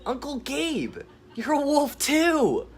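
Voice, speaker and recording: A man voicing an excitable little boy in a homemade storybook reading. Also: on the high side, slow, slightly rough, soft background music, exaggerated voice. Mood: thrilled. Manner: shouting with delight.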